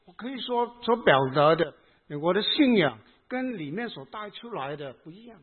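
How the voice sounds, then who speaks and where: A man with a Hong Kong accent preaching a sermon in short phrases, pausing between them for an interpreter. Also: sits high, very animated, breathy edge. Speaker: pastor. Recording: tinny phone-like sound.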